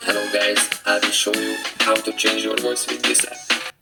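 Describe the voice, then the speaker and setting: A man's voice: lowish voice, monotone, normal speed. A man's own recorded greeting played back through a voice changer app effect over a hip hop beat. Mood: flat. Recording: loud hip hop music under the voice.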